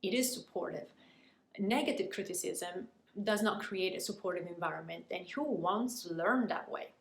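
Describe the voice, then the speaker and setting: A woman from Italy, a native Italian speaker with an Italian accent, gives a prepared educational talk as a language coach, articulate and deliberate in her emphasis. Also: fairly low pitch, vocal fry. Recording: echoey room with faint noise.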